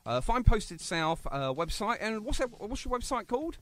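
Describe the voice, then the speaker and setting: Chatty jokey radio host. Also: male voice, high-pitched, big pitch swings, moderate pace. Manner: chatty, jokey, rambling with many fillers. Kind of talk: casual radio interview. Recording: phone interview on online radio.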